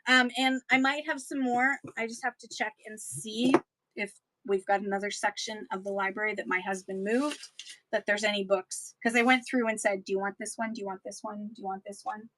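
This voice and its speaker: A woman selling books in a chatty live-stream auction, her voice getting hoarse, with playful sing-song asides. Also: mid-range voice, steady tone, brisk, a bit bright, rough recording.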